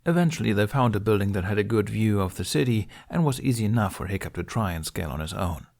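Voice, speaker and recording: A man's voice: on the low side, animated, fast, creaky, a little mellow. A man reading a story aloud as scripted narration. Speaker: podfic reader.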